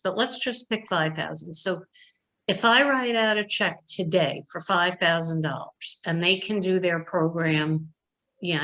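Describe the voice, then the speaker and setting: A woman's voice: lowish voice, bright, forceful tone, rough recording. An older woman talking informally at a virtual meeting in a conversational, plainspoken and emphatic way. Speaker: donor asking questions at an online meeting.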